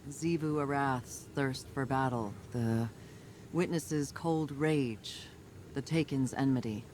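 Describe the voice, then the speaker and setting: A woman's voice: rumbling low, slow. An actress playing a tough, determined female field commander in a video game, speaking in a terse, resolute military manner. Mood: grave, resolute. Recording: loud music and noisy game ambience behind.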